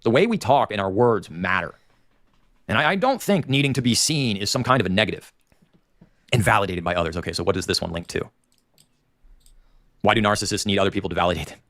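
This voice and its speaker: A man's voice: on the low side, expressive, quick pace, long pauses, fairly bright tone, no room sound. A man with a nasal voice and a Dayton, Ohio Appalachian accent, hosting a livestream and ranting in opinionated, digressive commentary. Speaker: livestream host.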